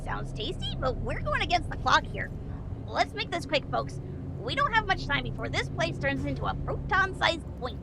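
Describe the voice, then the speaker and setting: A woman playing a brash, hurried tech pixie in an audio drama, with a snappy, wisecracking delivery. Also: high-pitched, very animated, gravelly, very noisy, heavily acted. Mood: brisk, wry.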